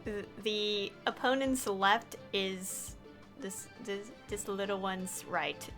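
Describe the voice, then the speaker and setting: A woman's voice: mid-range voice, slow pace, fairly bright tone. A woman giving casual live commentary as she plays, thinking aloud as a game streamer. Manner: thinking aloud, often chuckling. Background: game music.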